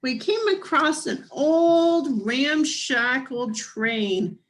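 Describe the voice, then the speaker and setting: A woman reading a children's picture book aloud expressively, with deliberate phrasing and drawn-out emphasis. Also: high voice, lively intonation. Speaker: picture book reader, planetarium director.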